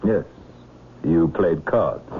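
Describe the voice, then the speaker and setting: An actor playing a probing police inspector in a radio drama, terse and matter-of-fact, courteous but persistent. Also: male voice, deep voice, expressive, drawn-out words, exaggerated voice. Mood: pressing, dry. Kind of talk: 1970s radio drama acting. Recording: muffled lo-fi old radio broadcast, hiss.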